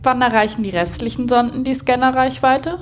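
A high female voice over music, an actress playing the captain of a space station. She gives brisk, terse orders with a dry, sardonic edge, in the style of a science fiction radio play. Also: close to an everyday voice. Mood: businesslike. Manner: brisk commands, dry sarcasm, swearing. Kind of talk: science fiction audio drama acting. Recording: music bed behind voice.

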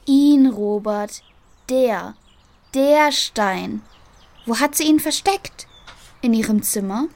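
Radio-play talking cat, female actor, small and imperious, impatient with fools, higher pitched, measured pace. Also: a little mellow. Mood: demanding. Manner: curt, scornful questioning. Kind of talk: German children's radio play acting.

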